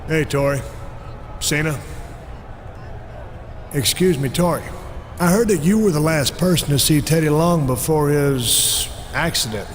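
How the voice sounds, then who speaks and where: Casting: male actor as probing official for a video game, formal, measured, skeptical, in a reverberant hall. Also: on the high side, lightly acted. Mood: polite, probing. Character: stern, probing male authority figure questioning suspects. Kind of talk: video game cutscene acting. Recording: long hall reverb, faint background noise.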